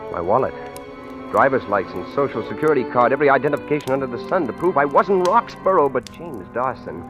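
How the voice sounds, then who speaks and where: A man's voice: medium pitch, expressive, fast, natural voice. An actor playing a frightened, stammering ordinary man caught among killers, in a 1940s radio suspense drama. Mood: tense dread. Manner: hesitant, stammering. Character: frightened ordinary husband mistaken for a killers' client. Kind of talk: 1940s radio acting, first-person suspense narration. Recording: old-time radio recording with music.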